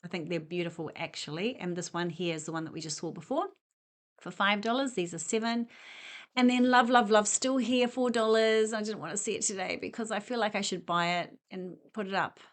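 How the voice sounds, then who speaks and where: A woman's voice: medium pitch, fairly quick. A middle-aged woman chatting and rambling as she walks through a discount store, self-deprecating and casual. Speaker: shopping vlogger. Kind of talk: casual shop walk-through vlog.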